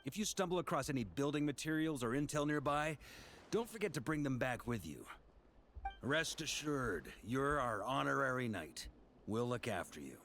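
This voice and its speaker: An actor voicing a genial, confident knight commander who loves a drink, in a video game. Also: male voice, medium pitch, big pitch swings, normal speed, a bit bright, slight background noise. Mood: jovial, reassuring. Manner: confident, jovial, rallying.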